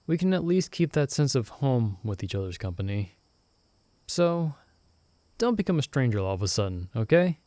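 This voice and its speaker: A soft-spoken young companion from an audio roleplay, played by a man, steady and quietly teasing as he reassures a stranded friend. His voice sits at an ordinary middle pitch for a man. The sound is close and dry, with no room around it. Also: normal speed, no echo, lightly acted. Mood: gentle, reassuring.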